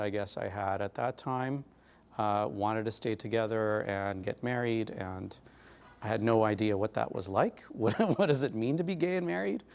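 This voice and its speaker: A middle-aged man with a lowish voice tells his story in an oral history interview. He speaks in a reflective, measured way, pausing often with fillers as he gathers his thoughts. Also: creaky. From Glendale, California.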